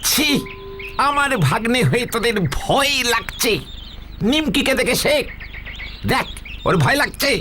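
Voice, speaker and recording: A man voicing a boastful but cowardly cartoon uncle, blustering and excitable. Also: very high-pitched, measured pace, pauses often, a little hiss or hum, full character voice. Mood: swaggering. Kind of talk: cartoon dub acting. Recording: music behind.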